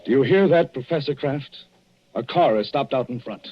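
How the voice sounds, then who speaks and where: An actor playing an accusing, unpitying doctor in an emphatic 1940s radio drama style. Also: male voice, mid-range voice, animated, moderate pace, noisy background, lightly acted. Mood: sharp, suspicious. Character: accusing, unpitying male doctor exposing a killer. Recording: dull vintage radio broadcast recording.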